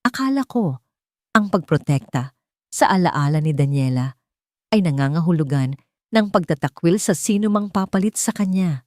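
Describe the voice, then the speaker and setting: An elderly grandmother, voiced by a woman storyteller, grieving, tender and full of remorse in a family drama. She speaks in a subdued, solemn way with a low, soft-edged tone, recorded dry. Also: animated, normal speed, pauses often, no room sound, lightly acted. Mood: tender, apologetic.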